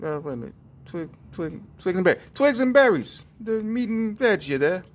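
Man putting on a shrill mock-British woman. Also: sing-song, moderate pace. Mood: flustered. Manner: exaggerated put-on accent. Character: brash young British woman.

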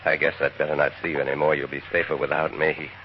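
A man's voice: extremely low pitch, little pitch movement, quick pace, highs cut off, noisy background. A man playing a wry, anxious writer on the run who narrates his own story in a 1950s radio drama. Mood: wistful. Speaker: narrator and lead. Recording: old radio broadcast with music.

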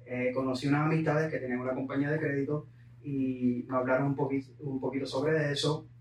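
Man, Puerto Rican accent, relaxed storytelling, echoey room, a bit far from the mic. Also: medium pitch. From Puerto Rico. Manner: unhurried, peppers speech with English words. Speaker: guest entrepreneur. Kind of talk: conversational storytelling.

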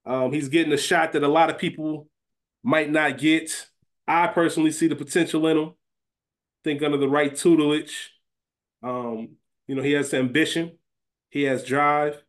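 A man's voice: on the high side, steady tone, moderate pace. An American man co-hosting a podcast in casual, bantering chat. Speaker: podcast co-host.

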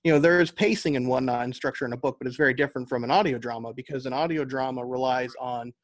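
An American man is being interviewed and explains his work in a conversational way. His voice sits at a middling pitch with very little room sound. He thinks his points through aloud as he goes. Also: quick pace, no room sound. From United States. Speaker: podcast guest, novelist and audio drama writer.

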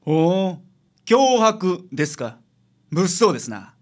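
A man playing a gruff, teasing detective boss with a Kansai accent in a radio drama. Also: high voice, sing-song, slow, exaggerated voice. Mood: businesslike.

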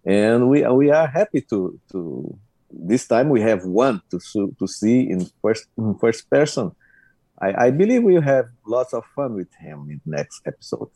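A man co-hosting a casual podcast chat, speaking haltingly and often repeating his words. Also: mid-range voice, sing-song, dark tone, no echo. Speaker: podcast co-host.

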